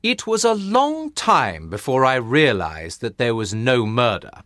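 Man voicing a calm detective, slow and explaining, lively pitch, dry close mic. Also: no echo. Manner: quiet, composed, explaining. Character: calm, measured adult male detective. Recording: dry close studio sound.

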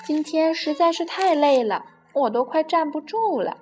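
Woman reading as friendly big bird, high voice. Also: lively intonation, normal speed, a little mellow. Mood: tired, kindly. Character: big friendly male bird, caring companion.

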